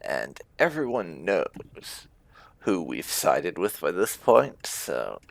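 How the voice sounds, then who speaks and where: Male gaming host, casual roleplay commentary, unhurried and musing, very wide swings in intonation. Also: low-pitched, sing-song, gravelly, dry recording.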